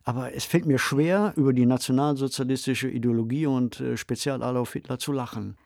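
Measured man co-host, dry recording. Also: mid-range voice, fairly even intonation, creaky, a little mellow, dry recording. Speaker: podcast co-host discussing radio plays. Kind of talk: analytical conversational discussion.

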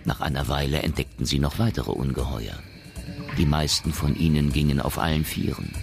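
Casting: man with a very deep voice to narrate a radio drama over a clear music bed. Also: moderate pace.

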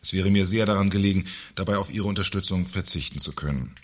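A man playing a pompous, husky company director. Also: low voice, fast, fairly bright tone. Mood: condescending, stern. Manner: pompous, long-winded sarcasm. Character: condescending, sarcastic male company director, self-important. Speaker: actor in a satirical audio drama. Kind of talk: German radio play dialogue.

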